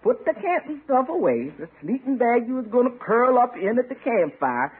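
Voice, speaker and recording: Casting a cheerfully encouraging, folksy household woman, husky and playful, in classic radio comedy with dull, narrow sound. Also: a little high, very animated, moderate pace, exaggerated voice. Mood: goading. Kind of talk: old-time radio comedy acting. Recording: muffled vintage radio recording.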